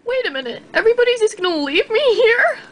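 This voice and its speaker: A woman's voice: very high-pitched, big pitch swings, a little hiss or hum. A woman voicing a lonely, dramatic young horse who sings mournfully and drags out her words. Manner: mournful, drawn-out slow singing.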